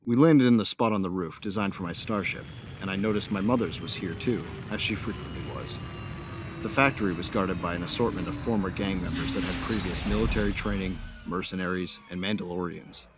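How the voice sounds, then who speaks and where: A man's voice: deep voice, fairly quick, over loud music, close to an everyday voice. A man playing a devoted young starship captain, narrating his own story in a science fiction audio drama. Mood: earnest, tender. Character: young newlywed starship captain, loyal son of smugglers, devoted husband. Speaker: first-person narrator and character.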